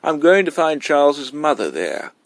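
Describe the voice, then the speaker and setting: A man's voice: a little high, big pitch swings, normal speed, creaky, dry recording, pitched above the performer's own voice. A man voicing a concerned, curious mother in a storybook reading, with an eager, questioning manner. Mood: incredulous, curious.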